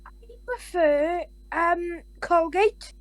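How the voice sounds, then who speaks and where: A woman's voice: very high-pitched, extremely slow, no echo. A woman hosting a comedy podcast, blunt and crude, firing off abrupt questions and insults. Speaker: podcast host. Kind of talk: chaotic comedy chat.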